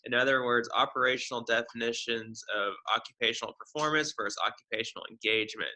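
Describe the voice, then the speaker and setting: A man in a group discussion who reads others' chat comments aloud, with a halting, self-correcting delivery. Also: mid-range voice, steady tone, bright, forceful tone, poor audio.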